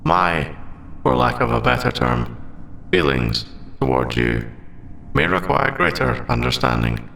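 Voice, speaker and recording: Gravelly, formal android AI, acted by a man. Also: mid-range voice, wildly swooping pitch, bright, forceful tone, a little hiss or hum, full character voice. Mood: detached, analytical. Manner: stilted, precise, deliberate robotic phrasing. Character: formal, logical male android AI, secretly sentient and earnest. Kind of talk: scripted ASMR audio roleplay.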